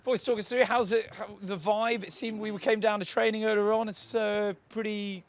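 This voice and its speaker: A man hosts a casual rugby podcast, his voice sitting a little high. He jokes around and stumbles through his lines with plenty of filler words. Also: fairly even intonation, moderate pace. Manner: jokey, hesitant with filler words. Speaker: podcast host. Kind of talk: casual chat.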